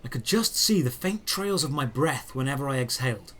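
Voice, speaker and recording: A man narrating a scripted first-person fantasy story in a measured, descriptive storytelling manner. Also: mid-range voice, animated, fairly bright tone.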